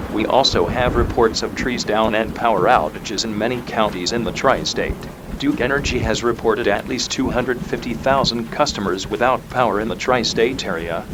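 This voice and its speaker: A man playing a composed local TV meteorologist delivering scripted storm coverage. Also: lightly acted. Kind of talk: scripted live news weather coverage. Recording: noisy, outdoor rumble behind.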